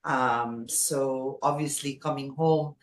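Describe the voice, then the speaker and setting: A woman's voice: extremely low pitch, steady tone, slow pace, a little hiss or hum. A woman from Nepal speaking effusively as a guest in a casual conversational interview. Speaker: podcast guest, former radio jockey. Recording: roomy, echoey sound.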